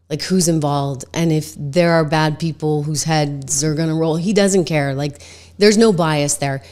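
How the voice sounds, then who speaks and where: Hoarse woman giving emphatic political commentary. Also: low voice, a little mellow. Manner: emphatic, conversational, frequent "like" fillers. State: slightly hoarse from a cold. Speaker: political talk show host.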